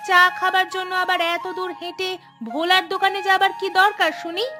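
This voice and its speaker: Bright, high-pitched caring village wife. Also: female voice, little pitch movement, a little fast, soft background music. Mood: fond, brisk. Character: caring, hardworking young village wife and daughter-in-law. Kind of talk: Bengali cartoon voice acting.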